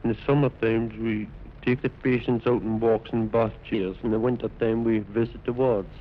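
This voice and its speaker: A man's voice: fairly low pitch, steady tone, normal speed, dull audio, a little hiss or hum. A young man answering interview questions on a 1950s radio recording. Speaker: youth club member interviewed.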